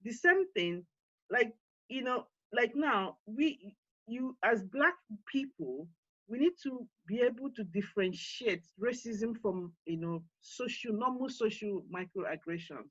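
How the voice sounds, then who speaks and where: A woman's voice: a little high, expressive, unhurried, fairly bright tone, breathy edge, dry recording, highs cut off. Earnest Nigerian woman on a video call. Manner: earnest, encouraging, with frequent fillers and restarts. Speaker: forum co-founder and CEO hosting an online summit. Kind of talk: panel talk and closing remarks.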